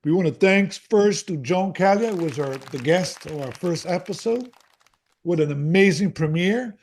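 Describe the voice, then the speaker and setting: A man hosting an author interview, enthusiastic and prone to halting restarts. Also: high voice, animated, darkish tone. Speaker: podcast host.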